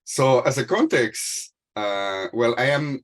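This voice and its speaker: A man's voice: mid-range voice, lively intonation, slow pace. A middle-aged man from Mexico who speaks English as a second language with a Spanish accent, giving a talk on a video call with many fillers and restarts. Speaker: panel speaker.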